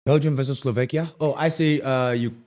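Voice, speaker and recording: A South African man hosting a casual sports podcast, relaxed and hesitant, with lots of fillers. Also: medium pitch, brisk. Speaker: podcast host.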